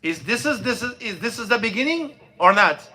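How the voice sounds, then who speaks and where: High bright-voiced man teaching a class. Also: expressive, a little fast. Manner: plain, repetitive explaining with restarts, asks the class questions. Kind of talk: informal religious lesson. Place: mosque classroom.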